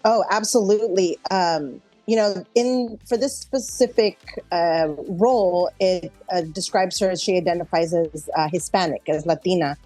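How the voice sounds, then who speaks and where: A woman's voice: fairly low pitch, normal speed, few pauses, mellow, soft background music. A middle-aged woman actor chatting casually in an interview, speaking in a reflective, conversational way.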